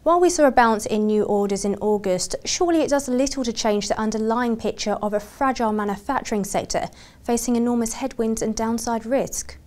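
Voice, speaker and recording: A woman's voice: fairly high pitch, brisk, darkish tone. A woman working as a broadcast journalist, putting scripted interview questions in a formal, polished presenter's delivery.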